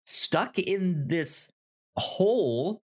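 Slow, halting man in casual discussion. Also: high voice, lively intonation. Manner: halting, stammering, repeats words while thinking. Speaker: podcast co-host.

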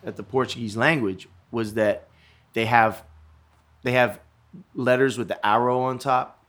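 Casual male talker, average pitch, punchy swearing travel anecdotes. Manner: animated, swearing, self-deprecating storyteller. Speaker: traveler in conversation. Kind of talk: casual chat.

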